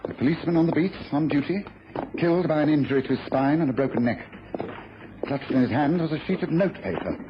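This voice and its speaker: An actor playing a shrewd, composed British detective in a mid-century radio drama, deliberate and authoritative. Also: male voice, a little high, animated, close to an everyday voice. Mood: grave, brisk. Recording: muffled, noisy old radio broadcast.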